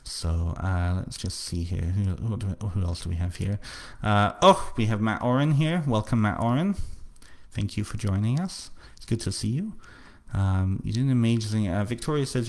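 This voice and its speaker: Author host, male, low register, faint North Welsh lilt, hesitant casual delivery. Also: a little mellow. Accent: slight North Welsh. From North Wales. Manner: halting, repeats words, many fillers. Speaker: author hosting a book launch livestream. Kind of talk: casual live stream talk, answering comments.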